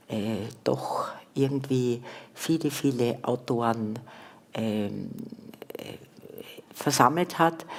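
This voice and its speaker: Woman from Austria, extremely low voice, measured and hesitant delivery. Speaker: panel guest. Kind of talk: panel discussion.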